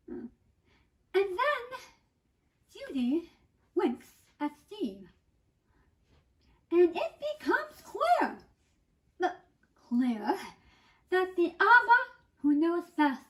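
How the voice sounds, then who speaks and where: A woman with a strikingly high voice performs a comic monologue in a theatrical style. Her pitch swings widely from phrase to phrase. She takes her time, leaning on dramatic, emphatic pauses. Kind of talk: theatrical first-person comic monologue reading.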